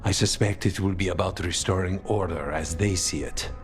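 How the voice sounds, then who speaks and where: A low male voice over faint music an actor in a game role. He is a stern underworld man bent on payback, delivering clipped, grave lines in a fantasy cutscene. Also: normal speed, lightly acted. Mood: stern resolve. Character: stern, vengeful man of a city's crime guild. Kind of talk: video game cutscene acting. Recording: music behind the voice.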